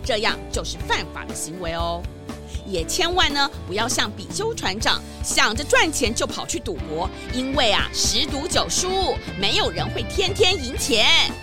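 A woman's voice: high-pitched, very animated, moderate pace, over music. A woman host speaking directly to children, explaining a story's lesson in a teacherly manner. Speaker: host and narrator. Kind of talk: moral wrap-up addressed to children.

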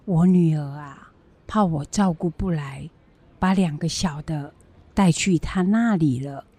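Woman acting a slow, husky grandmother. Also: low-pitched, mellow. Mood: fond, relaxed. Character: kindly grandmother raising her grandchildren, fond of fish heads. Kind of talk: children's educational radio drama acting.

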